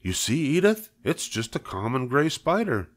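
An earnest farmer in a storybook, as a male narrator plays him, solemn and full of wonder. He speaks emphatically and insistently, often climbing well above a low natural register. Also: very animated, normal speed.

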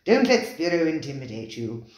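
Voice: medium pitch, big pitch swings, normal speed, natural voice. A reader voicing Lafleur, a jovial, hearty male regular diner who talks in a teasing way. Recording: roomy, echoey recording.

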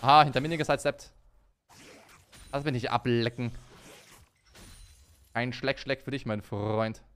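A man's voice: medium pitch, unhurried, long pauses, a bit bright, smooth. A man in his twenties streaming a video game from his room on a headset, chatting casually and thanking viewers. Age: 20s. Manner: chatty, self-mocking, reads out chat and thanks subs. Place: home gaming room.

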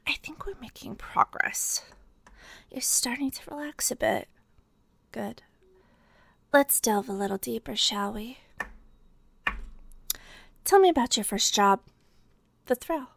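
An obsessed female detective, acted by a woman in an intimate ASMR roleplay, toying with a captive. Her voice is rather high yet breathy, with creaky edges, and she pauses deliberately as she teases. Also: a bit bright, no echo, heavily acted. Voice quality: breathy, whispery. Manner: slow, deliberate, teasing, pausing.

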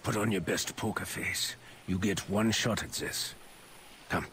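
Gravelly, eccentric agent. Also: male voice, low voice, unhurried, a bit bright, a little hiss or hum. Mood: wry, conspiratorial. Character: wry, eccentric male German undercover agent. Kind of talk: video game acting.

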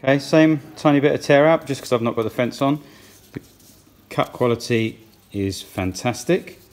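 A man's voice: medium pitch, normal speed, slight background noise. A man in his thirties, plainly and practically talking through saw blade tests in his woodworking workshop. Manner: plain, practical, thinking aloud. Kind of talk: workshop tool review.

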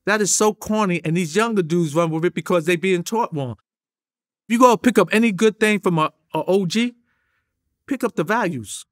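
A middle-aged man with a New York accent talks in an emphatic, streetwise way, packing his speech with slang. His voice sits a little higher than most men's, and he gestures as he tells his stories as an interview guest. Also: moderate pace, fairly bright tone. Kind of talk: animated street storytelling. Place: indoor studio with a drum kit.

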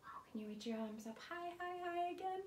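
A young woman reading a picture book aloud to small children in an animated way, pausing to put questions to her listeners. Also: extremely high pitch. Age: young adult. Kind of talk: read-aloud children's storytime. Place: living room at home, indoors. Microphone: camera a few feet away. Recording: roomy, distant mic, faint room noise.

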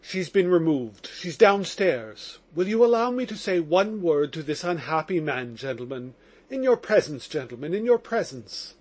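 A man voicing a good-natured, fatherly older police captain who talks in an excited, coaxing way. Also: a little high, moderate pace. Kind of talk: audiobook character reading.

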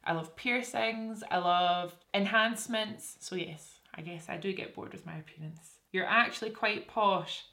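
A woman's voice: mid-range voice, unhurried, hardly any pauses, bright, forceful tone. A young woman with a Scottish accent chatting casually to camera in a small room, answering viewers' assumptions in a self-deprecating way with lots of restarts. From Scotland. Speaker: beauty vlogger. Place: small indoor room with curtain backdrop.

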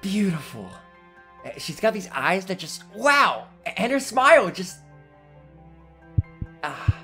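An actor voicing a laid-back, cheeky young unicorn stallion in an animated fan dub, with a wry manner. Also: mid-range voice, takes it slowly, breathy edge, faint music behind, full character voice. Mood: smitten.

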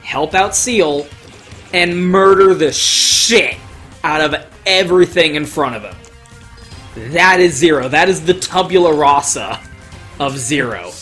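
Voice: medium pitch, expressive, slow, fairly bright tone. A gamer giving casual, emphatic let's play commentary, swearing freely and joking along the way. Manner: profane, jokey, emphatic. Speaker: gaming video host playing and commentating. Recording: game music under the voice. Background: video game music.

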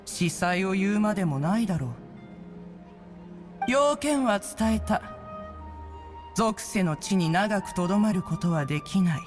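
A woman's voice: medium pitch, expressive, measured pace, long pauses, a little mellow, soft background music, close to an everyday voice. A woman voicing a proud, ancient female bird immortal in a video game, speaking in an archaic, imperious, pompous way. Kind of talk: Japanese video game voice acting.

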